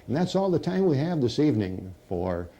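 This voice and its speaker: A man's voice: mid-range voice, very animated, brisk, mellow. A man hosting a TV program, reading phone numbers and interviewing a guest. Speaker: host.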